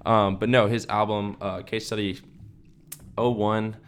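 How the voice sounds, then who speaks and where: Young dude podcast cohost, lowish voice, rambly and chill, lots of likes and ums. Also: male voice, flat delivery, unhurried, clear, resonant tone. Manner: laid-back, rambling with many fillers. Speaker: podcast co-host. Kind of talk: casual chat.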